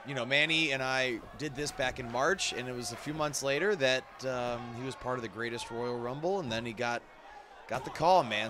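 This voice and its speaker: A man giving conversational, off-the-cuff behind-the-scenes commentary in an animated way. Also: medium pitch. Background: game cutscene dialogue and ambience.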